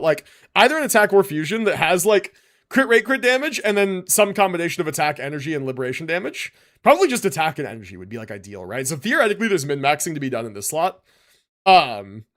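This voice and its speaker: A man with a fairly high voice and very lively, swinging intonation gives casual livestream commentary. He thinks aloud as he goes, slipping easily between reading out numbers and slangy remarks to viewers. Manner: relaxed slangy talk, thinking aloud, reading stats and chat aloud. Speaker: livestream host reviewing game builds.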